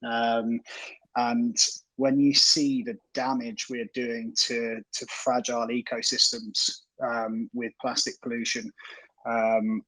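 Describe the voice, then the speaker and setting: A man answering questions on a casual group call, earnest and halting, with many ums. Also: medium pitch, little pitch movement, a bit bright, no echo, poor audio. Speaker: project co-founder answering questions.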